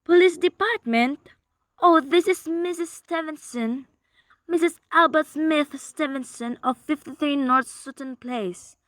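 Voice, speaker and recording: A high, bright, agitated society wife played by a woman in a radio thriller. She is imperious and demanding, pressing her urgent case with indignation, recorded very dry and close. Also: a little slow, no echo. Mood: insistent, flustered. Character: frantic, imperious wealthy married woman reporting a murder plot. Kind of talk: radio play acting, suspense thriller.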